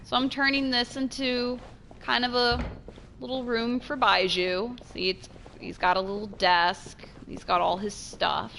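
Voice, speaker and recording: A woman giving casual, chatty gameplay commentary as a streamer, expressive and trailing off into asides. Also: fairly high pitch, measured pace, vocal fry, slight background noise. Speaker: game streamer.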